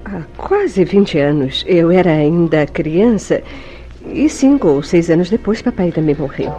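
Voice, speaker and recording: Noiva brasileira evasiva de radionovela, entonação muito variada. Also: female voice, on the low side, animated, measured pace, warm tone, faint music behind. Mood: composed. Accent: Brazilian. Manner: theatrical, formal diction. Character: composed, evasive young bride Gilda, lightly amused. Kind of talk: vintage Brazilian radio soap acting.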